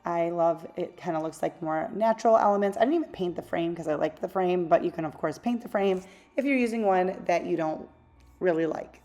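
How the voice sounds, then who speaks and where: Woman, somewhat low voice, mellow tone, slightly raspy, chatty crafting voice-over. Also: brisk. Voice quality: slightly raspy, losing her voice. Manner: chatty, rambling, self-correcting asides. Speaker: craft channel host. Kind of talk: casual craft tutorial voice-over.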